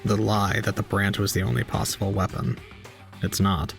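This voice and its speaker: A man playing a stoic, aloof young murder suspect in an audio drama, terse and deadpan. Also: lowish voice, normal speed, gravelly, faint music behind. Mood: cold, calm.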